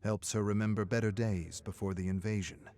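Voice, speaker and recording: A man voicing a weary survivor scientist recording game audio logs, measured and grave, his phrases trailing off into a hush. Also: fairly low pitch, steady tone, lightly acted. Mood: cautiously relieved. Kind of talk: scripted dramatic audio log narration.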